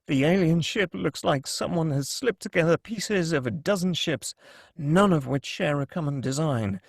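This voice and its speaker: Script narrator, male, wry stage directions, dead dry sound, slightly high voice. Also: dry recording. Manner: wry, reads directions with asides. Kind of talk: table read of a screenplay.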